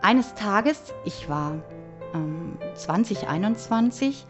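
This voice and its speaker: A woman's voice: medium pitch, expressive, takes it slowly, muffled recording, music in the background. A woman in her fifties from southern Germany, giving an earnest, reflective personal testimony as an interviewee. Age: 50s.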